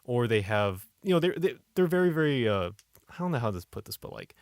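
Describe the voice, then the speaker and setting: Male podcast co-host, average pitch, dry close sound, casual and opinionated. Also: very animated, a little fast, no room sound. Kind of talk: casual movie discussion.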